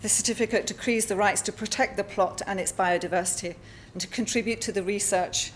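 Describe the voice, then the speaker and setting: A woman with a Spanish accent giving a conference talk over slides, halting often with fillers and self-corrections. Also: mid-range voice, a little hiss or hum. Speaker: artist presenting at a symposium. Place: lecture hall.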